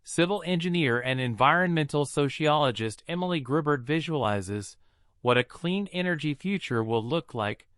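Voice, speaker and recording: A man's voice: on the high side, lively intonation, moderate pace, fairly bright tone, smooth, no room sound, clean studio sound. A man reading a scripted practice passage aloud as narration. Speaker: exam practice reader.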